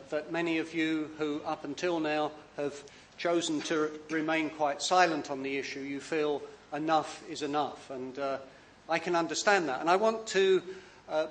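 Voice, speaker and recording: A man giving a public talk as an author, hesitant, with many fillers and restarts, often speaking quietly. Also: fairly high pitch, a little hiss or hum.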